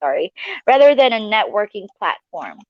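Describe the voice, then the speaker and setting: A woman's voice: medium pitch, sing-song, moderate pace, lo-fi sound. A woman from Malaysia chatting casually and giggly as co-host of a live stream from her living room at home.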